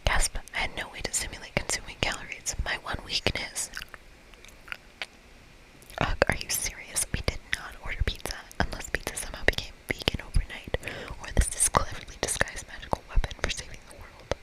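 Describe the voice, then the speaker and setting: Someone speaking in a breathy, unvoiced whisper, reading a game aloud as an ASMR host. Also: brisk, rough recording.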